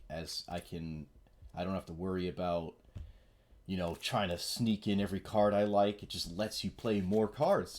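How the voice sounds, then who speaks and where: A man's voice: fairly low pitch, darkish tone. A man with a stuffy nasal voice from a cold, rambling casually as he streams a card game. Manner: mumbling, rambling, self-deprecating. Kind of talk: casual gameplay commentary.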